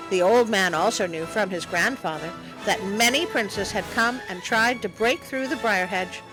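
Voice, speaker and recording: A woman's voice: medium pitch, natural voice, low-quality recording. A woman voicing a small furry monster who reads storybook tales expressively, with sardonic, easily sidetracked comic asides. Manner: expressive, wry, interrupts the reading with mock indignation. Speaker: storyteller and host. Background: music behind the voice.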